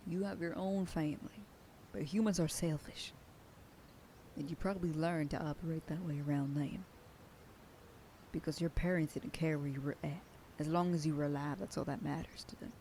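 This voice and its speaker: A woman playing a protective werewolf sheriff girlfriend in an ASMR roleplay, scolding the listener and dropping into soft whispers. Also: deep voice, fairly even intonation, normal speed, a little hiss or hum. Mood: earnest. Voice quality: soft whisper in quieter passages. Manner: scolding, then softening into tender whispers. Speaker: voice actor in an audio roleplay.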